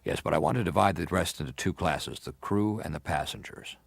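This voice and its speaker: Casting: methodical senator in a hearing docudrama, low creaky voice, formal and probing. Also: male voice, animated, fairly quick, no echo, full character voice. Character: formal, methodical male senator questioning a witness. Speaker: actor reading a senator's questions. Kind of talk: dramatised reading of hearing testimony.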